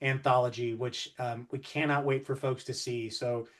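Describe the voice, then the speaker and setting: A man's voice: mid-range voice, little pitch movement, normal speed. A man hosting an interview in an enthusiastic, conversational style. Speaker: interview host.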